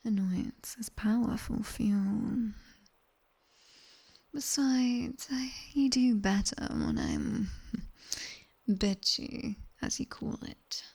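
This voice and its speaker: A British woman playing a sharp-tongued, strict and teasing demon tutor in a breathy, commanding whisper for ASMR roleplay. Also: fairly high pitch, sing-song, heavily acted. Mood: stern, teasing. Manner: slow, measured, commanding.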